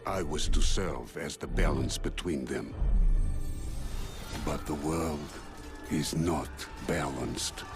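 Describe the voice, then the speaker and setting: An actor playing a grave, sorrowful monk leader who turns to forbidden darkness, in a solemn video game monologue. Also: male voice, rumbling low, slow, pauses often, over music, heavily acted. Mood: solemn, burdened. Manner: solemn, portentous.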